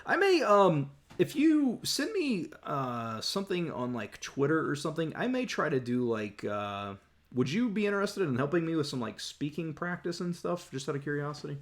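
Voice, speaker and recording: A man's voice: a little high, very animated, normal speed. A young man hosting a casual live Q&A, rambling with many restarts as he reads and answers chat questions. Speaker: YouTube host answering viewer questions. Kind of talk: casual livestream Q&A.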